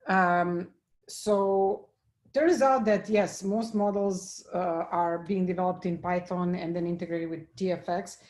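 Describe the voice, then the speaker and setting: A woman from Serbia giving a technical conference talk and answering questions, halting often with fillers and restarts. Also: lowish voice, fairly even intonation, darkish tone. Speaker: conference speaker.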